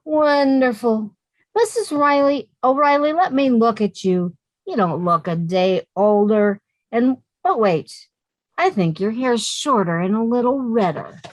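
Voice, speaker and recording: A woman's voice: a little high, lively intonation, unhurried, no room sound. A woman playing a sensible, wisecracking young woman with a dry, sardonic delivery in a radio comedy.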